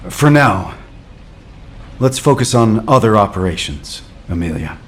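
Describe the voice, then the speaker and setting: A man playing a stern, pragmatic military commander in a video game cutscene, speaking with grave, deliberate authority. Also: fairly low pitch, sing-song, slightly rough, full character voice. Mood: pondering.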